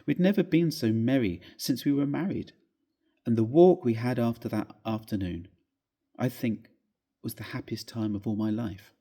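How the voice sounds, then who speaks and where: A man gives a measured, theatrical reading of a classic ghost story, with expressive phrasing. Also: mid-range voice. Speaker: story reader. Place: inside a church, live reading.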